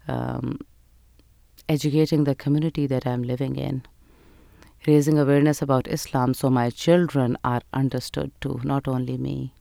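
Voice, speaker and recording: Woman, smooth clear voice. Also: a bass voice, dark tone, no echo, studio quality. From Pakistan. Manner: earnest, measured storytelling with fillers. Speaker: guest. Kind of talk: interview answers.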